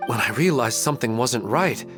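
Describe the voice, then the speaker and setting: A man's voice: medium pitch, animated, fairly quick, music in the background, natural voice. A man playing a mild, apologetic young man in a video game, hesitant and polite. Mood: bewildered. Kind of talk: video game character dialogue.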